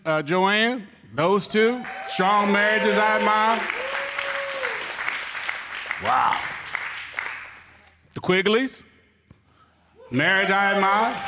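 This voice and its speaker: A man preaching a sermon, telling a comic story with shouted cries and playful asides to the congregation. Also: sits high, background noise. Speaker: pastor. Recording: long reverb of a large hall.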